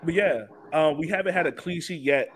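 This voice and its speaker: A man's voice: fairly high pitch, brisk, slight background noise. A man hosting a casual sports talk show, chatting in an informal, joking way. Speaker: podcast host.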